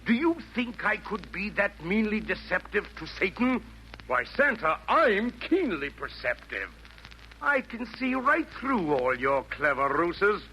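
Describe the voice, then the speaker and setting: A man's voice: sits high, sing-song, measured pace, bright, forceful tone, full character voice. An actor playing a vain, scheming male villain from hell, delivering pompous, florid rhymed verse in theatrical 1940s radio style. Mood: smug, sly. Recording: noisy vintage 1940s radio broadcast.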